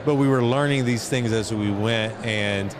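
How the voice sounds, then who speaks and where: Male interview guest, middle-aged, lower register, reflective conversational delivery, noisy outdoor location recording. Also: normal speed. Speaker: guest, entrepreneur and foundation chairman. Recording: noisy outdoor event, street and vehicle ambience.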